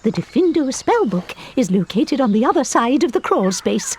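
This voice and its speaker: A strict but kindly schoolteacher witch for a video game. Her voice is fairly high and husky, swooping expressively as she gives formal, deliberate instruction. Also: very animated, a little mellow. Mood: instructing. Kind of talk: video game character acting.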